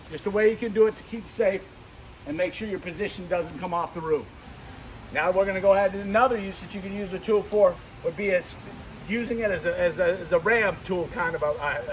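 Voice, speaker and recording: A man's voice: high voice, a little hiss or hum, poor audio. A man giving an unscripted, hands-on demonstration as a firefighting instructor, often repeating and restarting his phrases as he explains.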